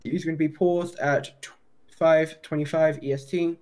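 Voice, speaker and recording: Male detective in a roleplay interview; soft-edged, level delivery, formal and measured. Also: on the high side, steady tone. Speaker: roleplayer playing a police detective. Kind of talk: improvised police interview roleplay.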